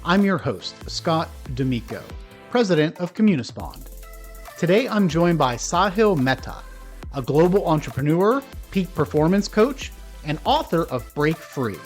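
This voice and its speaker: A man's voice: fairly high pitch, big pitch swings, a little slow, soft background music. A man hosting a podcast interview, reading a polished introduction and then asking friendly questions. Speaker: podcast host.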